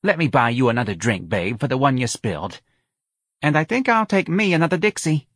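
A man's voice: mid-range voice, very animated, a little fast, fairly bright tone, slightly rough, no echo, studio quality, voice pushed up. A man voicing a tipsy, folksy mother of a grown son, chatting in a bar. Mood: coaxing.

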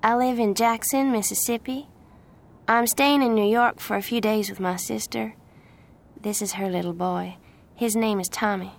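A woman voicing a gentle, friendly young woman who is patient with children, in an audiobook story. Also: mid-range voice, steady tone, normal speed, gravelly, pitched above the performer's own voice, natural voice. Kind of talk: audiobook character voice.

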